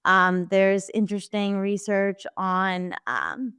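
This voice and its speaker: Woman host, smooth clear voice, upbeat questioner, dry studio mic. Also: medium pitch, takes it slowly, a bit bright, no room sound. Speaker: podcast co-host. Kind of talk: asking interview questions.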